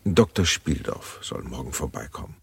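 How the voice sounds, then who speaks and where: An actor playing a worried, tender middle-aged gentleman and father in a radio drama, with a deep husky voice. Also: lively intonation, moderate pace, no room sound, full character voice. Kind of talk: German radio play acting.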